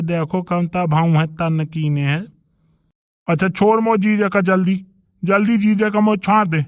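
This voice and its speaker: A man's voice: high voice, no echo. A man voicing a blustering, argumentative rustic in a village comedy cartoon, with a Bundelkhandi accent. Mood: demanding, indignant. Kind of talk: dialect comedy cartoon dialogue.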